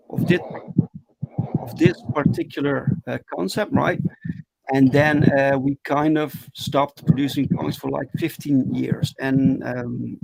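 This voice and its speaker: A man from the Netherlands with a Dutch accent, a comic book creator chatting as a guest on a video call, halting with many ums and restarts. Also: on the high side, gravelly, darkish tone, no room sound, poor audio. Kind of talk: casual interview chat.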